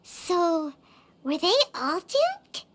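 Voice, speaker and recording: A woman voicing a small, excitable, bossy childlike fairy companion in an anime video game. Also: very high voice, extremely slow, background noise, heavily acted. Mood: curious, matter-of-fact. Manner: refers to self in third person, exclaiming.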